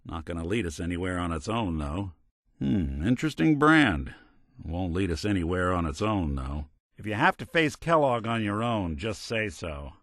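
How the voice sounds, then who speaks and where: An actor playing a world-weary, wry but loyal male synth private detective in a video game, with a gruff, hard-boiled noir delivery. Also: low voice, sing-song, normal speed, a bit bright, no room sound, clean studio sound, exaggerated voice. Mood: earnest, concerned.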